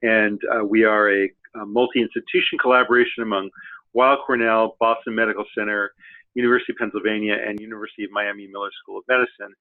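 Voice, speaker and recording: A man's voice: lowish voice, level tone. Man introducing speakers over a tinny line. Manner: halting, many "uh" fillers and restarts. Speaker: webinar host. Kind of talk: hesitant spoken introduction. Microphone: conference call. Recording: tinny phone-line audio.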